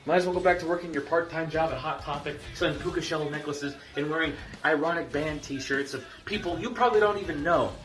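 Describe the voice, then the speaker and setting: A man cutting a trash-talking wrestling promo, taunting his opponent with loud bursts and low sneers. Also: fairly quick, hardly any pauses. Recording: echoey room, far from mic, music behind.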